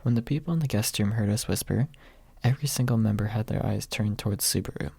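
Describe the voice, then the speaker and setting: A young man with a soft, husky voice, reading quietly in an ASMR audiobook style, close to the microphone. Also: on the low side, quick pace, dry recording. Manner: soft-spoken, hushed late at night. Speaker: amateur audiobook reader. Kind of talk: ASMR, quiet storybook narration. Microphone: close USB microphone without pop filter.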